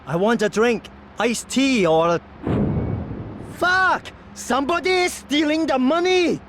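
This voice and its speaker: A man's voice: very high-pitched, expressive, unhurried, a bit bright, slight background noise, heavily acted. A man playing a reluctant, anxious gangster underling in a video game cutscene, plaintive, hesitant and blunt. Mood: agitated.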